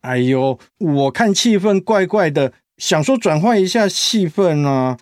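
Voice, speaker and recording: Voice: medium pitch, expressive, a little slow, no echo, clean studio sound, soft background music, lightly acted. A performer playing a flamboyant, fussy but loyal big-brother office colleague in a Mandarin radio drama, theatrical and teasing, with a Taiwanese accent. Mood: playful, concerned.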